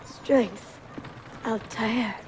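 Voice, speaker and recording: A woman's voice: fairly high pitch, drawn-out words, noisy background. An actress playing a principled, loyal wife and mother in a dramatic video game, earnest in delivery. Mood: weak, pleading.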